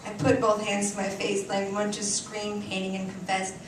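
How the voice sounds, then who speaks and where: A woman reads a story aloud on stage with theatrical, deliberate expression and dramatic pauses. Her medium-pitched voice rings through a very echoey room, picked up at some distance, with clear noise behind it. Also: monotone. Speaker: reader performing a short story on stage. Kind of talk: dramatic live literary reading. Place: indoor cafe stage before an audience.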